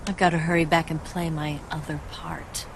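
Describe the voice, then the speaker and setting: A woman's voice: deep voice, flat delivery, normal speed, creaky, noisy background. A woman with an American accent voicing a confident, flirtatious female spy in a video game, self-assured, teasing and probing. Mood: guarded concern. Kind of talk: video game cutscene voice acting. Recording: music behind the voice.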